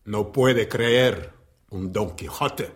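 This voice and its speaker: An actor in a radio play voicing a bitter, haughty writer, scornful and world-weary. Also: male voice, on the low side, slow pace. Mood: emphatic. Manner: haughty, declamatory.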